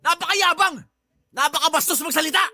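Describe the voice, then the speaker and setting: A man's voice: very high-pitched, a little fast, pushed and bright, full character voice. A man playing a belligerent, boastful stepfather in a radio drama, with a Filipino accent and a confrontational, domineering way of talking. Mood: angry, bullying. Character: belligerent, boastful live-in stepfather, quick to bully.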